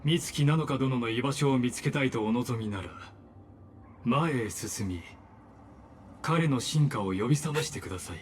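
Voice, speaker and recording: An actor playing a cryptic, composed scholar antagonist in a video game, speaking with formal, measured politeness. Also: male voice, medium pitch, a little hiss or hum, lightly acted. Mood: solemn. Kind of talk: Japanese video game dub acting. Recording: game music behind the voice.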